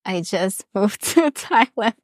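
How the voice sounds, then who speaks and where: Young woman guest, relaxed reflective chat, mid pitch. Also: slow pace, no room sound, clean studio sound. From Russia. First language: Russian. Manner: reflective, chatty, many restarts and small laughs. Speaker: guest, polyglot. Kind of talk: casual interview chat.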